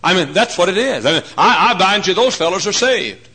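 A man in his forties preaching a folksy, humorous sermon, swinging between hushed asides and loud emphatic points. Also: high voice, animated, fairly quick, husky. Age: 40s. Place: church.

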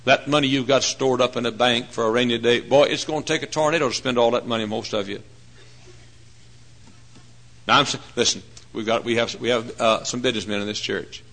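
A man in his forties preaching a folksy, humorous sermon, swinging between hushed asides and loud emphatic points. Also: mid-range voice, steady tone, brisk. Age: 40s. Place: church.

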